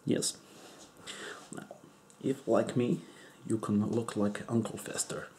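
A man voicing an eccentric, crude foreign male doctor in a deadpan whisper. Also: lowish voice, a little hiss or hum. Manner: whispery, slow, deadpan joking. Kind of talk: comedic ASMR roleplay.

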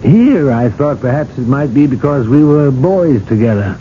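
An actor playing a dignified, patient older cardinal in a radio drama, speaking in a courteous, measured, gently ironic way. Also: male voice, medium pitch, lively intonation, lightly acted. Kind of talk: 1980s radio drama acting. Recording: muffled, noisy old radio broadcast.

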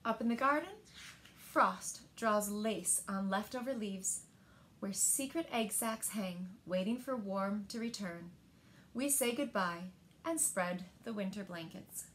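A woman in her thirties reads picture books aloud to young children with expressive, deliberate phrasing, recorded indoors in a living room. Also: mid-range voice, slight background noise. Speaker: storyteller at a library story time.

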